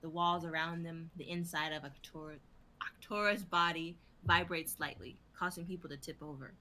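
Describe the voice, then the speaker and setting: A woman's voice: deep voice, fairly even intonation, moderate pace, pushed and bright, slight background noise. A young woman reading stage directions as scripted narration in a live drama reading. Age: young adult.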